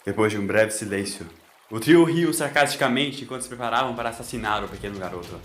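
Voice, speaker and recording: A man's voice: lowish voice, quick pace, a bit bright. A man with a Brazilian accent narrating a dramatic scripted story. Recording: roomy echo with music underneath.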